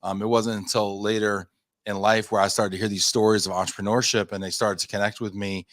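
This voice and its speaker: Male guest, 30s, lower voice, chatty rambler, dry close recording. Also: little pitch movement, brisk, dry recording. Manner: chatty, self-deprecating, rambling storyteller. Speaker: guest, company founder. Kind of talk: casual interview talk.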